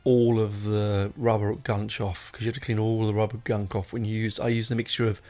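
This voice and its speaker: A man's voice: on the low side, level tone, brisk, a little mellow, soft background music. A middle-aged man hosting a podcast in a casual, unscripted way, wandering off on tangents and correcting himself as he goes. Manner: rambling, digressive, self-correcting. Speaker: podcast host.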